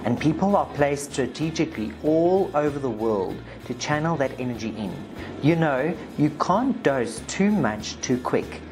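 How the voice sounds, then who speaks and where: A South African woman with a very deep voice narrates a spiritual update, reading transcripts aloud in an emphatic way. Music plays clearly behind her. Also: lively intonation, measured pace. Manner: emphatic, forceful when reading the client. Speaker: video host, spiritual practitioner. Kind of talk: narration read from session transcripts.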